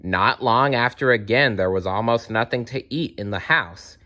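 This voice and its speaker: A man narrating a children's fairytale aloud, expressive and speaking directly to young listeners. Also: mid-range voice, normal speed. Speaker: storyteller.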